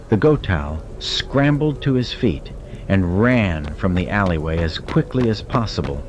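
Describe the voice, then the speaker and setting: Male narrator, very low register, dramatic storytelling over clearly audible effects. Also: highs cut off, a little hiss or hum. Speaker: audiobook narrator.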